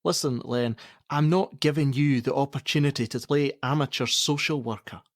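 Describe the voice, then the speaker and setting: A man's voice: medium pitch, normal speed, no room sound, studio quality, natural voice. An actor playing a defensive, argumentative middle-aged father in a naturalistic radio play, sarcastic and given to swearing. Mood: angry contempt. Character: angry, defensive, domineering father of a pregnant teenage girl.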